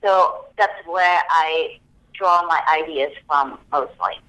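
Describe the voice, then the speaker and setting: A woman's voice: deep voice, takes it slowly, pushed and bright, rough recording. A woman from Hong Kong whose first language is Cantonese, a copywriting expert explaining things step by step as a podcast guest. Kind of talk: podcast interview.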